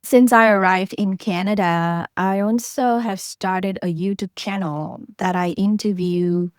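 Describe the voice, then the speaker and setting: A woman's voice: medium pitch, fairly even intonation, measured pace, no room sound, clean studio sound. A young Vietnamese woman speaking English with a Vietnamese accent, telling her story as an interview guest in an earnest, reflective way, often adding "like". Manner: earnest, reflective, frequent "like" fillers. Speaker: guest, journalist and researcher.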